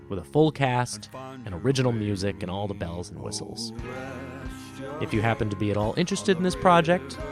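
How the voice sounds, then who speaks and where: A man hosting a storytelling podcast, speaking in an earnest, reflective manner. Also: on the low side. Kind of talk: storybook narration and personal host talk. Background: music under the voice.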